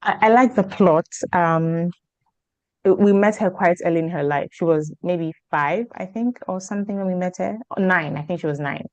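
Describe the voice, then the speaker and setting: Low-voiced woman chatting about books, close dry sound. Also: moderate pace, no echo, muffled recording. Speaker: book club panelist. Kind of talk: casual book discussion.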